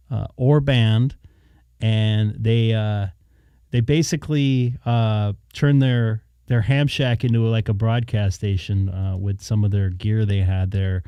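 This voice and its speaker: A man's voice: fairly low pitch, fairly even intonation, takes it slowly, mellow, clean tone, dry recording. A man hosting a radio hobby live stream, chatting casually and thinking aloud in a rambling way. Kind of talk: casual chat. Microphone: dynamic studio mic held in hand.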